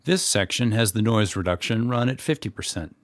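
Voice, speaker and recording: A man with a fairly low voice gives scripted instruction as a podcast guest. His manner is wry and plainspoken. The sound is close and dry, with very little room. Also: animated, a little fast, no echo. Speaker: guest audio editor and podcast narrator.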